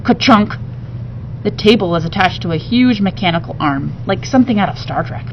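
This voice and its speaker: A woman narrating a children's novel aloud in an expressive read-aloud style, like a teacher. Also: medium pitch, a little fast, gravelly. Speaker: teacher reading a book aloud. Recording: muffled home recording, faint background noise.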